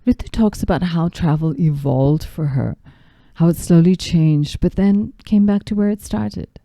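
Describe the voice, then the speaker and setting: A podcast host who grew up in Zambia reads her introductions and memories from a script at a calm, steady pace. Her voice is very low and gravelly, with a deep, dark tone. The sound is close and completely dry. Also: measured pace, no room sound. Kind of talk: scripted narration.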